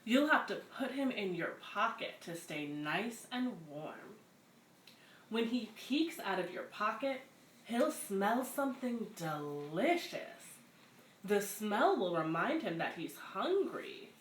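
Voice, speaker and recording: A woman hosts a read-aloud story time for children in a medium-pitched voice. She moves between reading picture books and casual introductions. The room is noticeably echoey, with some faint background noise. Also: very animated, slow. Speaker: community center story-time host. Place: echoey room at a community center.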